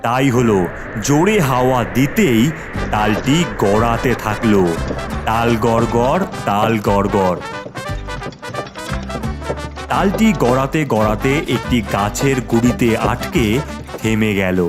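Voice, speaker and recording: Casting: male storybook narrator for a kids' spooky cartoon, mid-pitched and animated, with prominent music underneath. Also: measured pace. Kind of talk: scripted children's horror cartoon storytelling.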